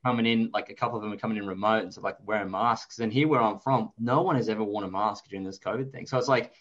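A man's voice: lowish voice, fast, a bit bright, muffled recording. A man in his thirties with an Australian accent, talking casually and anecdotally as an interview guest. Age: 30s. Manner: chatty, informal, anecdotal. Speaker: interview guest, investor and author.

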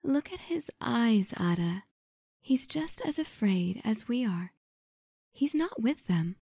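A man's voice: very high-pitched, very animated, slow, vocal fry, darkish tone, slightly rough, no echo, clean studio sound, pitched above the performer's own voice, exaggerated voice. A man voicing a stern, protective old woman, a priestess who urges a frightened young man to come with her. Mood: gentle. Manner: firm, urging. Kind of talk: audiobook character voice.

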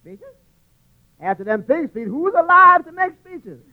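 High-voiced wisecracking waiter, old radio. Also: male voice, sing-song, a little slow, heavily acted. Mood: dry, sardonic. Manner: dry quips, stammered repeated words. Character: wisecracking, long-suffering tavern waiter. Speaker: radio comedy actor. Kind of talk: 1940s radio comedy acting. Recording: muffled 1940s radio broadcast recording.

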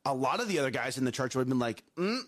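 A man co-hosting a morning show, a coach with a loud voice, giving emphatic advice and often checking in with "okay?" and "right?". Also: medium pitch, very animated, very fast. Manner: emphatic, repeats himself, asks "okay?" and "right?". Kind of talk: casual chat, preachy life advice.

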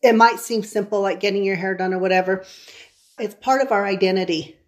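A woman's voice: mid-range voice, brisk. A woman hosting an interview, chatty and encouraging, often affirming her guest. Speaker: show host. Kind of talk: interview chat.

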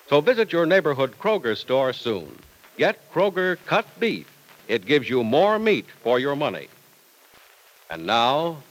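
Lively, emphatic old-time American radio announcer. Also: male voice, mid-range voice, low-quality recording. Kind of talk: 1950s radio sponsor pitch and scripted narration.

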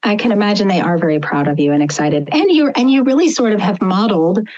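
Middle-aged woman interviewer, mid pitch, muffled lo-fi line, reflective. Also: lively intonation, quick pace, darkish tone. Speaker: interview host. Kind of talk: conversational interview. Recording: dull lo-fi audio.

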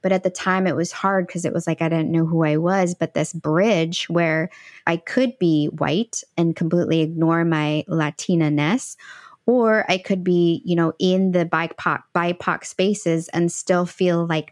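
A young woman with a lowish voice talks through her thoughts in long, winding stretches, often circling back to restart a phrase. The recording is dry and close, with no room around her. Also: dry recording. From United States. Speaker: guest, empowerment coach. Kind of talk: casual podcast conversation.

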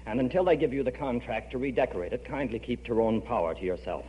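A man's voice: mid-range voice, fast talker, natural voice. An actor playing an exasperated, sarcastic middle-aged father in a 1940s radio comedy, dry and weary. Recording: muffled, hissy, humming old radio broadcast.

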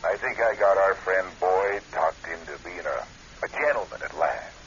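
A man's voice: low voice, animated, fairly bright tone. An actor playing a sly, folksy male private eye, hesitant and drawling out his words in a radio drama. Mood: folksy coaxing. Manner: hesitant, folksy, drawn-out delivery. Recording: hissy, muffled old radio phone call.

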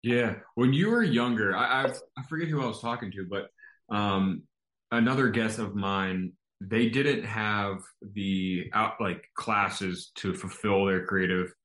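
A man's voice: on the low side, unhurried, fairly bright tone. A man hosting a podcast, asking friendly, loosely worded questions with restarts. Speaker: podcast host. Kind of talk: casual interview hosting. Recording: echoey room.

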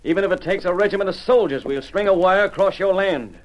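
Radio western lead: man playing young crew boss, fairly high voice, firm, authoritative, plain-spoken. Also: fast talker, muffled recording, lightly acted. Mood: determined. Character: firm young field engineer, principled, lawful boss. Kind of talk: old-time radio western acting.

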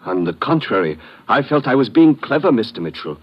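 An actor playing a polished, over-eager official under suspicion in an old-time radio drama, formal and courteous with protesting turns. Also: male voice, medium pitch, very animated, brisk. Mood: courteous, defensive. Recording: muffled vintage radio recording.

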